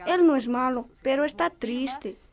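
A woman's voice: high-pitched, unhurried, heavy background noise. An actress with a Bolivian accent playing a guarded, dutiful teenage girl in a radio drama. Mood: sad, tender.

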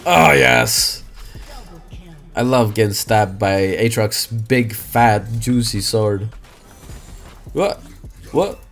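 A young man talks through his match in a loose, chatty way, breaking into loud, cursing outbursts. His voice sits at an ordinary middle pitch for a man. Faint music hums under him. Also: extremely slow, vocal fry, long pauses. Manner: loud swearing outbursts, excitable. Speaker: game streamer. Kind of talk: casual gaming commentary. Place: small room indoors. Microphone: headset.

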